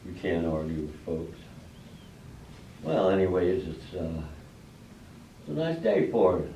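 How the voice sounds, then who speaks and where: A man's voice: deep voice, sing-song, a little slow, dark tone, a little hiss or hum, heavily acted. A man playing a troubled, plain-spoken village farmer and father in a staged reading, with folksy rural diction and an uneasy, questioning way of talking. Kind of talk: amateur readers' theater stage acting. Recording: dull, echoey room, distant mic.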